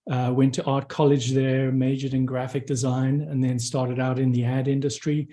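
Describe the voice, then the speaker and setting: A man's voice: mid-range voice, little pitch movement, normal speed, mellow. A middle-aged man with a South African accent, introducing himself as a guest on an online panel. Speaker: photographer guest. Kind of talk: self-introduction in a panel talk.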